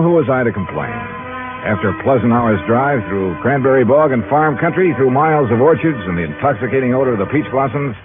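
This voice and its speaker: Tinny old radio recording with music, male actor as breezy American detective, wry, teasing, lively swooping pitch. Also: lightly acted. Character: wry, breezy male insurance investigator narrating his case.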